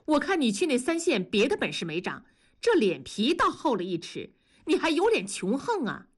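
A woman voicing a jealous, sharp-tongued wife in an audiobook, sneering and needling with scornful questions. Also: high-pitched, big pitch swings, moderate pace, voice pushed up. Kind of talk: audiobook character dialogue.

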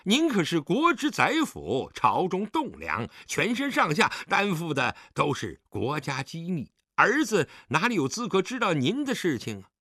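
A man's voice: mid-range voice, wildly swooping pitch, measured pace, bright, forceful tone, dry recording, clean studio sound, full character voice. A man acting a drunk, defiant grown son who taunts his father insolently in an audiobook drama. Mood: bitter, sarcastic. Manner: insolent, taunting, slightly stumbling speech.

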